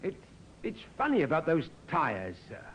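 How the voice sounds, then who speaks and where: An actor playing a deferential, eager police sergeant in a 1950s radio drama. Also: male voice, a little high, wildly swooping pitch, normal speed. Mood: attentive, deferential. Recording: muffled, hissy 1950s radio recording.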